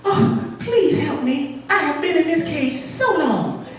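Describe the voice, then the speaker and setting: Man doing a very high, tiny girl rabbit. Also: lively intonation, takes it slowly, exaggerated voice. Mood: pleading. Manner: plaintive, pleading, dramatic. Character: tiny, helpless female caged rabbit, pleading and dainty. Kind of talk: live comic storytelling. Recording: very echoey room, distant mic, faint noise.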